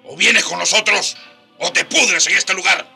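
Fierce, forceful rebel leader. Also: male voice, sits high, normal speed, creaky, heavily acted. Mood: commanding. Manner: brusque, commanding, challenging. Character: fierce, commanding African rebel leader, escaped slave. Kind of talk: radio drama acting. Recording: radio drama with faint background music.

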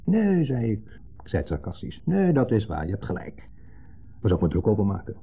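A man's voice: fairly low pitch, huge pitch swings, fairly quick, creaky, slightly rough, exaggerated voice. An actor in a 1970s radio play voicing an insecure, needling man with a Netherlands Dutch accent, who speaks theatrically and dwells pointedly on his words. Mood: sarcastic, pointed. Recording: muffled, band-limited 1970s radio recording.

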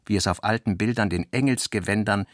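A man narrating a literary radio play in a measured, expressive storytelling manner. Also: lowish voice, dry recording, studio quality.

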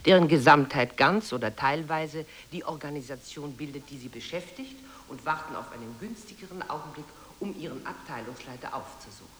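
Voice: mid-range voice, brisk, few pauses, a bit bright, slight background noise, natural voice. A performer voicing a decisive procedural advisor concluding what to do in an experimental radio play. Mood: prompt, decisive.

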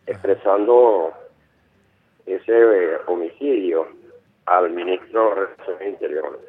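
A man's voice: low voice, takes it slowly. A middle-aged Venezuelan man calling a radio show, talking in an insistent, rambling way and repeating himself. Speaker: caller. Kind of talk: phone-in radio call. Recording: phone line with faint music.

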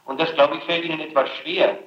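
Man, fairly high voice, interrogator, admonishing, persistent, muffled archival recording. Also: fairly even intonation, fairly quick, roomy sound.

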